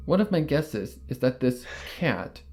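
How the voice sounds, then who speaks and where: A man narrating a fan fiction story in the first person, in a measured, earnest storytelling manner. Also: medium pitch, very animated, darkish tone, faint music behind.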